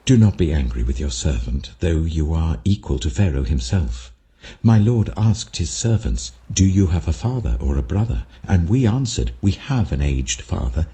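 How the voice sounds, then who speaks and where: A man's voice: deep voice, creaky, warm tone, heavily acted. A man voicing a humble, earnest brother pleading deferentially before a lord in a dramatic scripture reading.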